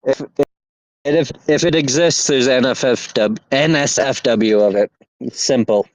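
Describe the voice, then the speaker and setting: A man co-hosting a casual podcast, talking in halting bursts with many fillers and restarts. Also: medium pitch, no room sound, rough recording. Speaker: podcast co-host.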